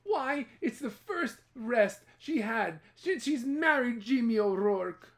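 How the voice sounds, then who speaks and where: A man's voice: very high-pitched, lively intonation, slow, fairly bright tone, voice pushed up, exaggerated voice. A man voicing a pious, gossipy village woman in a storybook folktale. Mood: amused gossip. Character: gossiping Irish village women, pious and amused.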